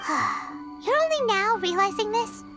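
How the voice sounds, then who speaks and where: A tiny childlike fairy sidekick from a video game with an extremely high, squeaky voice. She is excitable and quick to get indignant, swinging wildly in pitch, with loud music behind her. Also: full character voice. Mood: startled, annoyed. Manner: talks about self in third person, indignant. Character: tiny excitable female fairy companion, childlike, easily spooked. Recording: playful background music.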